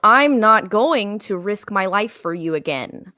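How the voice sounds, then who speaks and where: Lady playing fed up blunt alien sidekick, slow delivery, lively pitch, comedy table read. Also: no room sound. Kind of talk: comedic live table read of a film script.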